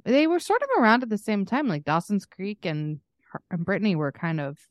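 A woman's voice: medium pitch, sing-song, brisk, dry recording, muffled recording. A woman co-hosting a podcast in casual, conversational pop-culture chat, with a giggly way of talking. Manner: giggly, laughs often while talking; conversational, frequent "like" and "you know". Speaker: podcast co-host.

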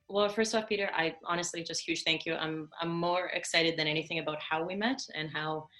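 A woman's voice: deep voice, a bit bright. A woman from Moldova whose first language is Russian, answering interview questions thoughtfully, with many ums and restarts. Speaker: podcast guest.

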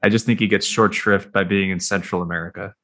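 Upbeat male host, low and punchy, folksy chat. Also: fairly even intonation, fast, fairly bright tone. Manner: upbeat, folksy, wraps things up with praise. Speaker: podcast host. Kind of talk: casual chat.

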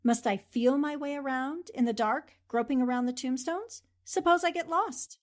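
A woman's voice: high voice, normal speed, natural voice. A woman playing a plucky, wisecracking young newspaperwoman sleuth in a 1940s-style radio drama, with a flippant, arch way of talking. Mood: sly, arch. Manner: talks to herself, flippant, arch.

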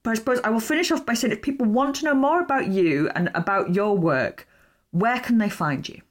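A woman with a Yorkshire accent hosting a podcast, asking questions and signing off with enthusiasm and warmth. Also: a little high, lively intonation, a little fast. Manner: enthusiastic, friendly. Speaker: podcast host. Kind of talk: podcast interview and outro.

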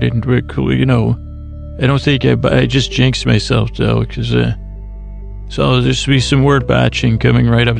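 A man hosting a bedtime podcast, talking in a meandering, self-deprecating way with slow drawn-out pauses. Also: medium pitch, creaky. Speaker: podcast host. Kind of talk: rambling bedtime podcast intro.

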